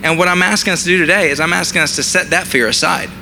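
A man, preaching a sermon with a raspy, hoarse voice as he loses it, mixing jokes about himself into his message. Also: a little high, steady tone, fast, bright, forceful tone, slight background noise. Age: 30s. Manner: self-deprecating humor, conversational preaching. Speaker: pastor.

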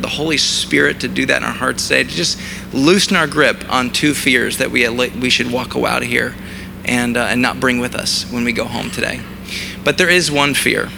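Hoarse thirties pastor, gravelly mid-pitched voice, self-mocking humor; recorded over a steady noisy hum. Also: male voice. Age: 30s. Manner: self-deprecating humor, conversational preaching. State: hoarse, losing his voice. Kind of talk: sermon.

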